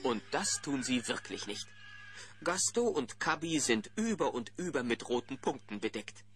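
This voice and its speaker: A man narrating a scripted children's audio play in an animated storytelling style. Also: medium pitch, normal speed.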